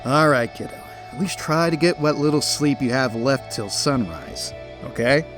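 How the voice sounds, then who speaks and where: A man voicing a gentle bat pony father in an animated fan audio drama, teasing playfully in a fatherly way. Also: medium pitch, moderate pace, natural voice. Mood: gently fatherly. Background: faint music.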